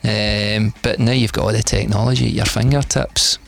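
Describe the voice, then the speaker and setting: A middle-aged Scottish man, a DJ and producer, chatting in an interview in a relaxed, rambling way with lots of fillers and restarts. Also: fairly low pitch, normal speed, creaky. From Scotland. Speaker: DJ and producer guest. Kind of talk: casual interview chat.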